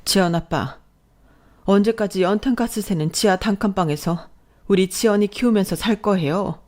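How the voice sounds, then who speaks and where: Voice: medium pitch, normal speed, a little mellow, lightly acted. A narrator voicing a long-suffering, fed-up wife and mother in dramatized storytelling dialogue. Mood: aggrieved.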